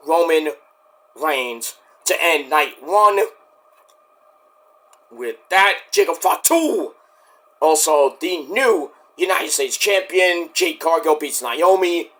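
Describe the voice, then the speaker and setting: Bright-voiced hoarse man reviewing wrestling. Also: sits high. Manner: rambling, jokey, with bursts of shouting. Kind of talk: casual solo review.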